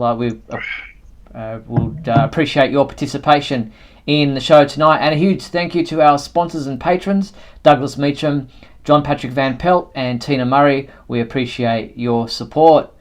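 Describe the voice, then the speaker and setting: A man hosts a casual live news chat for fans. His medium-pitched voice moves haltingly through the items, with plenty of fillers and a conversational feel. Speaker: show host.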